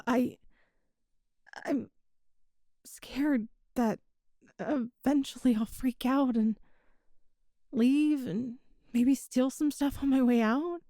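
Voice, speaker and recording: A woman voicing a shy, hesitant young catgirl thief in an ASMR roleplay, speaking softly with stammers and little meows, close to the mic. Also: high voice, no echo. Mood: sheepish. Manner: soft, hesitant, stammering, meows between phrases. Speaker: voice actor.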